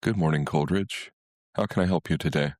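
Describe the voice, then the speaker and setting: Deep-voiced man as a polite female digital assistant. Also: fairly quick, darkish tone, dry recording, studio quality. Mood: polite, composed.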